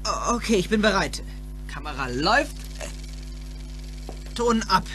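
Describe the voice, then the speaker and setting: Casting: teenage sidekick in radio play, eager, flustered, animated delivery. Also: male voice, high-pitched, measured pace, soft background music, exaggerated voice. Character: teenage boy, eager, easily astonished sidekick. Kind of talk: German audio drama acting.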